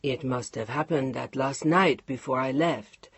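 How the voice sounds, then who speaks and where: A woman doing a quiet, steely French husband. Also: unhurried, husky, no room sound. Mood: firm. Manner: low, firm, unbending.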